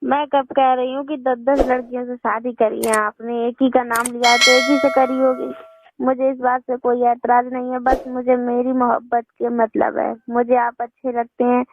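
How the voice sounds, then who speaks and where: High, even-toned young woman on the phone. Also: gravelly, slight background noise. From northern India. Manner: insistent, unflustered. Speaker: phone caller. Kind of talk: personal phone conversation. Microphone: telephone. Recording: tinny phone-line call recording.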